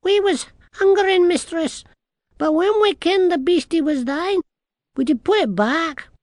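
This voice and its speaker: A narrator voicing a tiny, rowdy male warrior creature with a Scottish accent and loud dialect speech, for a fantasy storybook. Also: extremely high pitch, measured pace, no room sound, studio quality, pitched above the performer's own voice. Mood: sheepish, nervous. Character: tiny rowdy blue-skinned warrior man, anxious, boastful thief.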